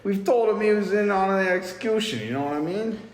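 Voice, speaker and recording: A man's voice: sits high, fast talker, pitched above the performer's own voice, close to an everyday voice. A man in an amateur table read playing a pushy, foul-mouthed recruiter of mercenaries, impatient and shady. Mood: pushy, heated. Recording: echoey reverberant room, background noise.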